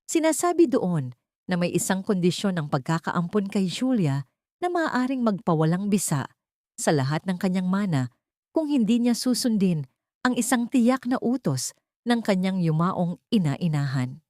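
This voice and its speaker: A woman's voice: medium pitch, sing-song, moderate pace, darkish tone, no echo, studio quality, natural voice. A woman voicing an anxious old patriarch. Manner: worried, urgent. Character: old wealthy father, anxious, on the phone.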